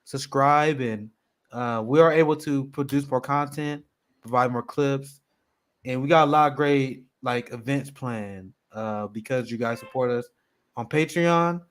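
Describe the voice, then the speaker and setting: A man's voice: mid-range voice, a little slow, clean tone. A man hosting a political livestream, casually running through the show schedule in an upbeat way. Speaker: host.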